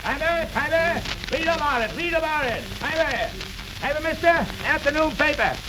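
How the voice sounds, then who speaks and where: A man's voice: very high voice, normal speed. A man in 1930s radio comedy voicing an eager young newsboy, on an old echoey recording. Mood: hawking. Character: eager young newsboy hawking afternoon papers.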